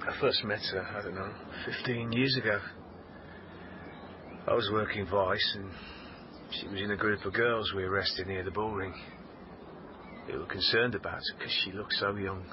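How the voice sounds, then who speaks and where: An actor playing a weary, sardonic British police officer in a radio drama, speaking in a measured, halting way. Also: male voice, sits low. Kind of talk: naturalistic radio drama acting. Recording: dull radio recording, clear background noise.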